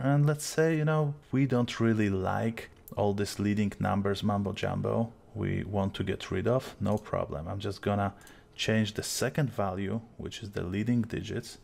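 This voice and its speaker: A young man with a Polish accent explains a software tool step by step in a calm, easygoing voice-over. His voice sits a bit low and carries a gravelly edge. The delivery is patient and clear, like a tutorial host. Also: moderate pace. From Poland. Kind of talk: screen-recorded software tutorial.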